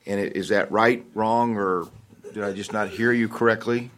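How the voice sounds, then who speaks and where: Guy running a board meeting, lowish voice, kinda halting, restarts a lot. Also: fairly even intonation, fairly bright tone, a little hiss or hum. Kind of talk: formal meeting discussion.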